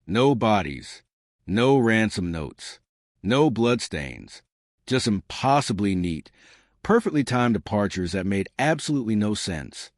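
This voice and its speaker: A man narrates a dark mystery audio story in a fairly low voice. His delivery is dramatic and deliberate, with lively swings of pitch. The sound is very dry and close. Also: no echo.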